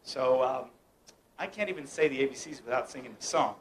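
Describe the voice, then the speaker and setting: A man's voice: medium pitch, lively intonation, fairly quick, slight background noise. A young man from the United States performing a playful mind-reading act, teasing and directing the people around him. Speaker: mentalist performer. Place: room at a home gathering.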